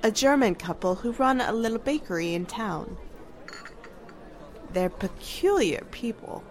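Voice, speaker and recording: Actress as society busybody, affected, insinuating, slow delivery, upper-pitched, 1940s radio drama. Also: female voice, expressive, some chatter behind. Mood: gossipy. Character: gushing, suspicious small-town society gossip lady.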